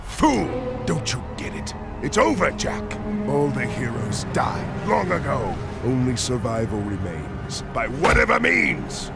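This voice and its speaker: A man's voice: mid-range voice, sing-song, a little slow, creaky, loud music behind the voice. A man playing a pompous, ruthless tyrant ruler in a video game, declaiming grandly with theatrical menace. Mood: menacing fury.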